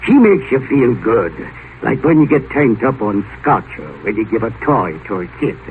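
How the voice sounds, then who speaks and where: An actor playing a gruff, ill-tempered rich old tycoon who grumbles curtly, in mid-century radio drama style. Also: male voice, mid-range voice, big pitch swings, moderate pace. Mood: uneasy, confiding. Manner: blustering, grumbling, curt. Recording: noisy, tinny 1950s radio broadcast.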